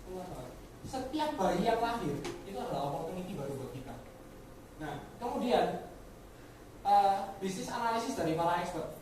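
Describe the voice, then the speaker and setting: A woman's voice: lowish voice, very animated, moderate pace, a little mellow. Woman speaking in a very echoey room. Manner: rhetorical questions, frequent direct address to listeners; mixes English words into speech. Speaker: insurance agent giving a motivational talk. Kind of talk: motivational business presentation to colleagues. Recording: very echoey room, distant mic, faint noise.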